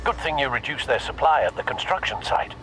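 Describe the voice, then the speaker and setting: A man playing a steady police ally. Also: medium pitch, brisk, a little hiss or hum, lightly acted, low-quality recording. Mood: concerned. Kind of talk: video game voice acting.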